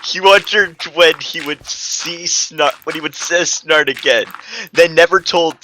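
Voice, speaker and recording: A man's voice: on the high side, unhurried, bright, forceful tone, a little hiss or hum, low-quality recording. A man giving a comedic dramatic reading of fan fiction as narrator, stumbling and correcting himself.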